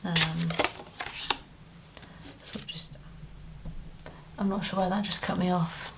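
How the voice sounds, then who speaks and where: Croaky British woman counting cash slowly. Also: fairly low pitch, fairly even intonation, a little hiss or hum, rough recording. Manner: counting money aloud, chatty asides. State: croaky voice, clearing throat. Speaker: budgeting vlogger. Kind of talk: casual cash-stuffing commentary.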